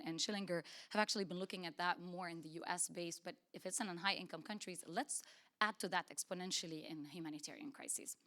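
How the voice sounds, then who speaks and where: A fairly low-voiced woman from Lebanon presenting at a conference, speaking into a podium microphone. She talks in an earnest, emphatic way, pressing on key words and often restarting her sentences. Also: a bit bright. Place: conference hall.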